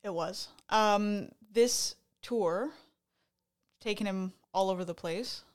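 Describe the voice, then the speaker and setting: A woman's voice: medium pitch, slow pace. Woman co-host from Canada, enthusiastic casual talker, mid-range voice, easygoing podcast banter. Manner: chatty, enthusiastic, trails off mid-sentence. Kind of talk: casual chat.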